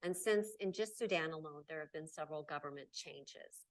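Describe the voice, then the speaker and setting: A woman's voice: sits low, fairly quick. A middle-aged woman from Nebraska giving an emphatic conference talk in a lecture hall, stressing key words in repeated lists. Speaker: keynote speaker. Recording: voice from far off the mic.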